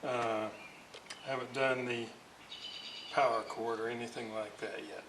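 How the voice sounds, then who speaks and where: A man restoring an old radio, slowly thinking aloud with lots of fillers as he works at his bench. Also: mid-range voice, flat delivery. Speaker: electronics restorer. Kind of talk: casual workbench narration. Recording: echoey, distant, noisy workshop audio.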